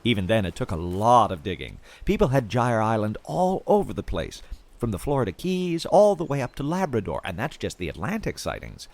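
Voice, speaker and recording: A man's voice: lowish voice, sing-song, a little fast, creaky. A man playing an obsessive, chatty podcaster who confides in his listeners about his treasure-hunting expedition in an audio drama. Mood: intrigued, confiding. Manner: theatrical, confiding, addresses listeners directly. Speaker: podcast host in audio fiction. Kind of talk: audio fiction monologue as a podcast host.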